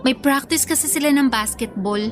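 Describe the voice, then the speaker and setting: A woman's voice: high voice, moderate pace, faint music behind, close to an everyday voice. An actress playing a sweet, bashful young college woman in a Filipino radio drama, speaking with a Filipino accent.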